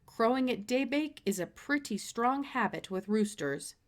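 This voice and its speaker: A woman voicing a reasonable, dryly principled father in a children's storybook, talking in a measured way. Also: fairly high pitch, lively intonation. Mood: skeptical.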